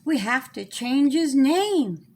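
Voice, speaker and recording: A woman's voice: sits high, sing-song, slow pace, a little mellow, full character voice. A woman voicing a small, earnest storybook child who reasons plainly with others. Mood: encouraging.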